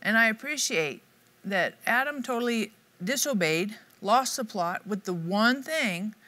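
A woman's voice: medium pitch, animated, unhurried, bright, forceful tone. A middle-aged woman giving an upbeat, conversational devotional teaching to camera in a studio. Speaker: Bible teacher. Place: dark studio set, indoors.

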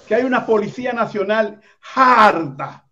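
A man's voice: sits high, lively intonation, measured pace, sharp tone, dull audio. A Dominican man giving a fiery, rallying speech into a handheld microphone at an outdoor street protest. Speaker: activist host at a street protest.

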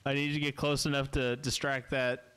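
A man's voice: mid-range voice, little pitch movement, a little fast, fairly bright tone, clear, resonant tone. A man in his thirties talking casually as he streams a co-op game, close to a microphone in a small home room. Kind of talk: casual co-op gameplay chat. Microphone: close microphone on boom arm, headset.

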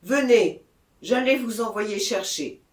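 A man's voice: high-pitched, big pitch swings, measured pace, roomy sound, far from the mic, pitched above the performer's own voice. A man reading as a concerned, authoritative town mayor. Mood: urgent summons.

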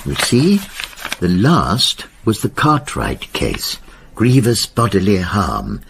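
An actor playing a grave, knowing man in a radio drama, speaking with slow, weighty deliberation. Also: fairly low pitch, huge pitch swings, creaky, noisy background, heavily acted. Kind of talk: British radio drama acting.

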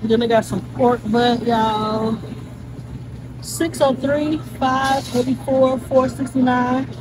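A fairly high-voiced woman in her thirties, talking into her phone while she browses a meat store. She chats to her viewers and reads out prices as she goes. Clear store noise sits around her voice. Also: little pitch movement, takes it slowly. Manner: chatty, reads prices aloud, addresses viewers as y'all. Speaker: vlogger. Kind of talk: casual shopping vlog. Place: indoor meat store, supermarket aisles. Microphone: phone held by hand, selfie camera.

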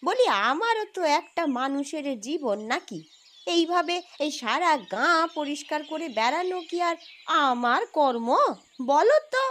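Woman voicing a grumbling, high-pitched old village woman. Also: sing-song, unhurried, fairly bright tone, a little hiss or hum, full character voice. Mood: weary grumbling. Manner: exclamatory, complaining, rustic colloquial turns of phrase. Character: grumbling, shrewd older village sweeper woman. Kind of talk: animated cartoon story dialogue. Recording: music under the voice.

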